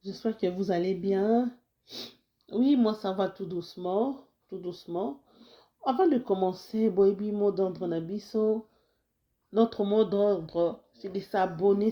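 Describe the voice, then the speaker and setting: A woman's voice: mid-range voice, lo-fi sound. A Congolese woman who has a cold, talking casually to her viewers with many restarts and urging them to share her video. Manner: warm exhortations, frequent restarts, mixes in Lingala phrases. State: slightly hoarse with a cold. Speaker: health video host. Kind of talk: casual talk to camera. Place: lives in the United States.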